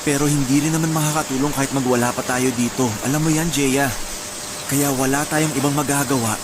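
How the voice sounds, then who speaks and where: A man playing a calm, reasoning sibling in a radio drama. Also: medium pitch, a little fast, noisy background, close to an everyday voice. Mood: calm, firm. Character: calm sibling steadying an upset Jeya.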